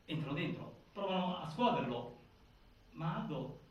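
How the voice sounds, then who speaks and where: A man playing a grave narrator of a prison-death inquiry, with deliberate, broken phrasing in a stage drama. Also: on the high side, expressive, highs cut off. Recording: very echoey, distant, faint noise.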